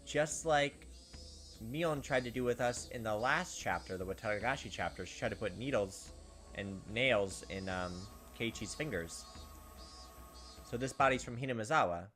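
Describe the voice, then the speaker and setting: A man's voice: mid-range voice, normal speed, fairly bright tone, faint music behind. A man hosting a visual novel playthrough, reading narration aloud and commenting casually. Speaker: gaming video host.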